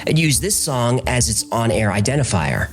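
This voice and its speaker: A man's voice: medium pitch, sing-song, moderate pace, soft background music. A man narrating a scripted documentary podcast as its host, in a storytelling format.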